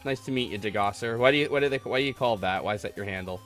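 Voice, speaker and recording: A man's voice: lowish voice, rapid-fire, a bit bright. A man hosting a gaming livestream, chatting casually and rambling with callers in a geeky, self-deprecating way. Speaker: host of a gaming livestream podcast taking callers. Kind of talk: casual chat while playing a game. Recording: game music behind the voice. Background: retro video game music.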